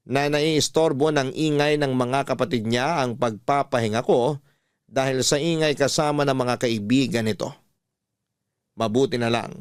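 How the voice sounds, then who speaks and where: A man with a Filipino accent calmly reading a listener's love story letter on the radio. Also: medium pitch, clean tone, no echo, clean studio sound. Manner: calm, steady storytelling.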